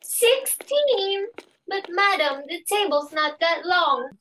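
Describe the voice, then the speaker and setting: A woman's voice: very high voice, very animated, takes it slowly, a bit bright, a little hiss or hum, heavily acted, poor audio. A woman playing a dutiful household servant in an amateur reading of a stage comedy, deferential and stiffly formal. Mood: dismayed.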